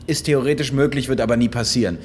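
An actor playing a wry, pedantic police detective in a German radio crime drama, dry and formally polite. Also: male voice, medium pitch, quick pace, lightly acted.

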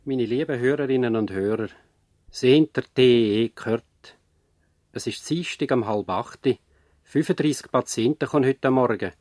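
A man narrating a radio play as an earnest commentator, in deliberate, emphatic short phrases. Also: mid-range voice, a little mellow, no room sound, natural voice. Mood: matter-of-fact, urgent. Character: earnest, probing narrator-commentator of a medical drama.

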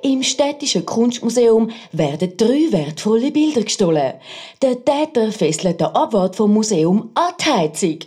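A conceited radio crime reporter with a Swiss accent, hungry for sensation. Her husky, mellow voice swoops dramatically through each report. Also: on the high side, very animated, normal speed. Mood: dramatic, self-important.

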